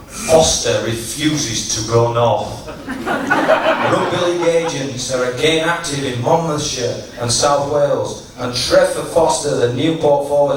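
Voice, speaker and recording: Man giving a speech in an echoey hall. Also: mid-range voice. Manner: teasing, rhetorical, deliberate storytelling. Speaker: after-dinner speaker at a tribute dinner. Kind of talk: humorous tribute speech to a live audience. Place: large function room at a dinner, distant microphone. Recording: echoey noisy hall, from far off.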